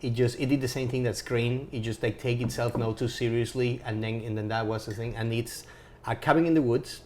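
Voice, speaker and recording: A man's voice: mid-range voice, steady tone, fairly quick, a little mellow. A man on a film podcast panel chatting casually, repeating and rephrasing himself for emphasis.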